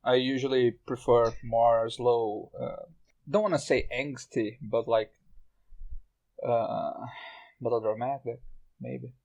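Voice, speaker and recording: Slow-paced man hosting a casual podcast. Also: mid-range voice, level tone, gravelly, darkish tone, lo-fi sound. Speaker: podcast host, interviewer. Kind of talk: casual chat, putting questions to guests.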